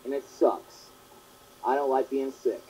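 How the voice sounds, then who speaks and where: A young man hosting his own fan question show, talking in a rambling, earnest way that repeats itself, his voice hoarse and partly gone from a long cough. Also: mid-range voice, steady tone, unhurried, warm tone, a little hiss or hum. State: sore throat, partly lost voice from a long cough. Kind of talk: casual monologue answering fan questions. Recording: dull lo-fi home recording.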